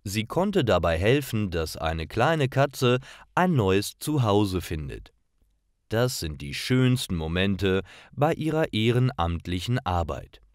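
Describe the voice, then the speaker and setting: A man with a fairly deep voice narrates simple stories for language learners. His delivery is very slow and deliberate, with lively rises and falls in pitch and carefully separated phrases that are easy to follow. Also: no room sound, studio quality.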